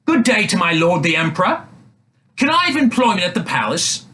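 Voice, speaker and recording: A man's voice: sits high, very animated, fairly quick, pushed and bright, voice pushed up, full character voice. A man voicing a cheeky, stubborn young prince disguised as a swineherd, bold and insistent, in a fairy tale. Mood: polite.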